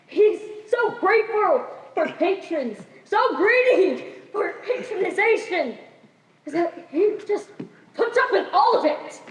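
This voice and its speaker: A woman's voice: sits high, heavily acted. A teenage female student actor playing a troubled, earnest Victorian doctor in a stage play. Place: high school theater stage. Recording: echoey theater, distant microphone.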